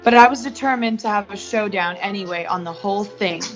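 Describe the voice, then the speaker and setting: A woman's voice: mid-range voice, a little fast, muffled recording, music in the background, natural voice. A young woman playing an ambitious, blunt, easily rattled career woman in a 1940s-style suspense radio play. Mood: confiding, tense. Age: young adult.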